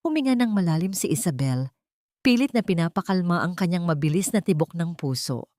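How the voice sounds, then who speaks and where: A woman's voice: lowish voice, expressive, fairly quick, a little mellow, no room sound, studio quality. A woman narrator reading a scripted dramatic story with suspenseful delivery.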